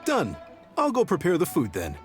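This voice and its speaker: Courteous restaurant server, lively intonation. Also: male voice, on the high side, moderate pace. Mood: polite. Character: polite, formal adult restaurant server. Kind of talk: video game voice acting. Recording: game audio over background music.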